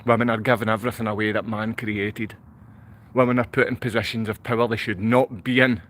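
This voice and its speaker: A man's voice: fairly low pitch, level tone, a little fast, a bit bright. A man from Glasgow with a Glaswegian Scottish accent, talking unscripted to livestream viewers in a rambling, repetitive way full of rhetorical questions. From Glasgow, Scotland.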